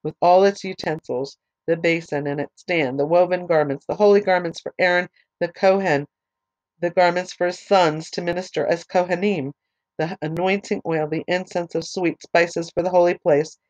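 A woman teaching a Bible study whose voice is fading from allergies. She reads scripture aloud slowly, adds short explanations, and spells out names letter by letter. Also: fairly low pitch, fairly even intonation, a little mellow, lo-fi sound. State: losing her voice from allergies.

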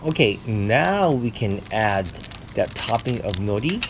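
A man's voice: lowish voice, big pitch swings, measured pace, slight background noise. A man in his forties narrating a casual vlog, explaining food and his neighborhood to viewers in a chatty way. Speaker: vlogger.

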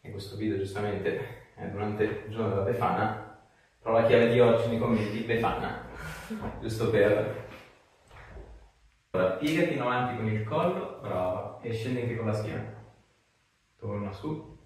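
Echoey, low-voiced osteopath man. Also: moderate pace, long pauses. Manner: reassuring, encouraging. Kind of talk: casual instructions to a patient during treatment. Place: small treatment room, indoors. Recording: echoey room, distant mic, faint noise.

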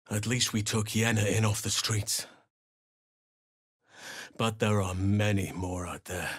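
A man voicing an earnest, solemn druid healer in a fantasy video game, speaking in measured, formal phrases. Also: fairly low pitch, dry recording, clean studio sound. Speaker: video game companion character. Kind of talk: scripted fantasy game voice acting.

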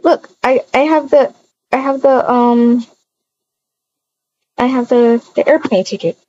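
A woman's voice: fairly high pitch, fairly even intonation, slow, dark tone, dull audio, a little hiss or hum. A woman improvising a voiced video-game roleplay, chatting and narrating her own actions aloud.

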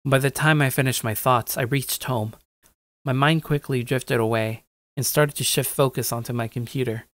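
A man's voice: medium pitch, a bit bright, no echo, lightly acted. A man playing a shy, awkward young loner gamer, narrating his own story in a self-deprecating way. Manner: self-deprecating, stammering when talking to others. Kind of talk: first-person story narration with acted dialogue.

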